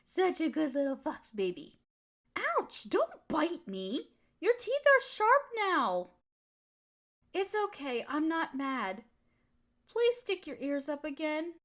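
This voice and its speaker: A woman's voice: high voice, very animated, slow pace, a bit bright, full character voice. A woman playing an affectionate, teasing girlfriend in an intimate comfort roleplay, soothing and cooing as she pampers her partner. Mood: cooing, playful. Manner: cooing, soothing pet-talk. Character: affectionate, teasing, nurturing girlfriend of a fox spirit. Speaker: audio roleplay voice performer.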